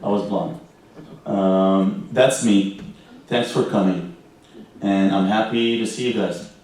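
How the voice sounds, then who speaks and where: A man's voice: low voice, fairly even intonation, slow. A man wrapping up his talk with easygoing, chuckling asides. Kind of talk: closing remarks. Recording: roomy echo, distant, faint hiss.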